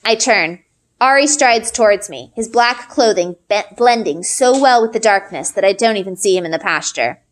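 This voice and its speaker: A woman's voice: medium pitch, lively intonation, moderate pace. A woman reading a story aloud expressively, as a teacher would, acting out the dialogue.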